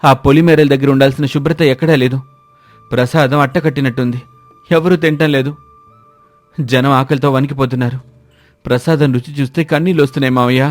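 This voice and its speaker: A man's voice: medium pitch, fairly even intonation, fairly quick, long pauses, faint music behind, natural voice. A man playing a fretful, panicky village kitchen helper who exclaims and complains in a moral story. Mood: panicked. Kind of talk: dramatised moral-story dialogue.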